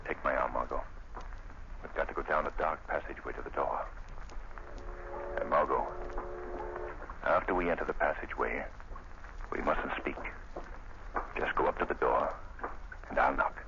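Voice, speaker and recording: An actor playing a calm, resolute male hero in a 1930s radio drama, speaking in a steady, assured way. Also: moderate pace, long pauses. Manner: steady, assured, low-key. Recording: muffled, hissy old radio recording.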